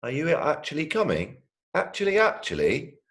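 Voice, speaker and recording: A man voicing an excitable, adventurous little brother in a storybook, exclaiming eagerly. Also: a little high, moderate pace, voice pushed up, full character voice. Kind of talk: storybook character voice.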